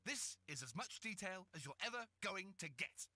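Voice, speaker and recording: A man's voice: high voice, big pitch swings, moderate pace, bright, forceful tone, slightly rough, full character voice. A man voicing a patient young man in a video game, speaking firmly and reassuringly. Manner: firm, reassuring.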